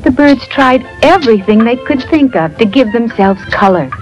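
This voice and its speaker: A woman narrating a scripted children's fairy tale in an expressive storytelling manner. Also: a little high, normal speed. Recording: muffled lo-fi audio, faint music behind.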